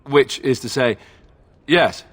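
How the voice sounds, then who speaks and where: A man's voice: mid-range voice, slow. A man voicing a young, self-doubting heir to a throne in a fantasy video game, earnest and wry, with a conversational, hesitant way of talking. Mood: uncertain resolve.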